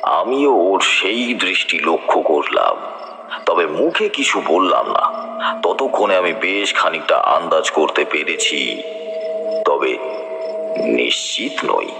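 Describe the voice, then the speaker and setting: Deep, slow-speaking male horror narrator. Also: big pitch swings, creaky, pushed and bright, a little hiss or hum. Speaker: narrator of a horror audio story. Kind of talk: slow dramatic first-person storytelling with acted dialogue.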